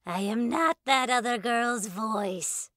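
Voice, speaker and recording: Woman voicing a slow, crackly, eccentric little oracle lady. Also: sharp tone, no room sound, clean studio sound. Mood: cryptic, solemn. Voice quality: high-pitched, crackly, raw. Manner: slow, drawn-out, sing-song pronouncements. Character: small, eccentric, crazy mystery older woman, slow and cryptic.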